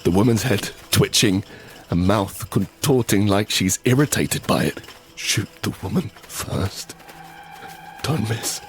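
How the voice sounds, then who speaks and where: A man's voice: fairly low pitch, slow pace, a little hiss or hum. A man playing a tense survivor who narrates a zombie apocalypse audio drama in short, suspenseful phrases. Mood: tense, hushed. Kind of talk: first-person dramatic narration with acted dialogue. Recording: background music under the voice.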